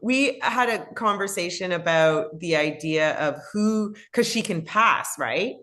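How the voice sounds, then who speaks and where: A woman's voice: lowish voice, lively intonation, unhurried, a bit bright. A woman hosting a casual book club chat over a video call, asking questions and passing on audience questions. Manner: inquisitive, passes on audience questions. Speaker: host.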